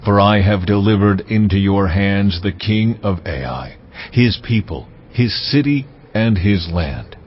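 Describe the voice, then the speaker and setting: Slow, solemn, commanding God. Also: male voice, on the low side, a little hiss or hum. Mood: commanding, assuring. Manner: stately, slow, emphatic pronouncements. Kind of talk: dramatized audio Bible acting. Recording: slightly muffled, music behind the voice. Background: dramatic music.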